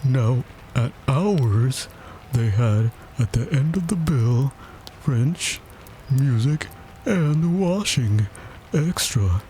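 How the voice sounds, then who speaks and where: A man voicing a mournful, self-pitying, touchy old turtle from a storybook, with drawn-out, sighing delivery. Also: mid-range voice, expressive, warm tone, a little hiss or hum, pitched above the performer's own voice, rough recording. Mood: anxious, wistful. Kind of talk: storybook character voice.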